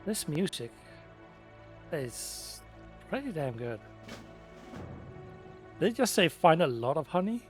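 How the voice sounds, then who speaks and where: A man's voice: on the high side, very animated, slow pace, pauses often. Casual male gamer over game music. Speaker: let's play gamer. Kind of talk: casual gameplay commentary. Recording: clear game music behind voice. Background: game music and sounds.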